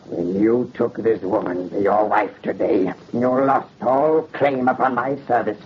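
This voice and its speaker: A man's voice: on the low side, fairly even intonation, measured pace, lightly acted. A man playing a bitter, hateful servant in a 1930s radio horror drama, menacing and declamatory. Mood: venomous. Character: bitter, hateful servant loyal to dead master. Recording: muffled old radio recording, faint noise.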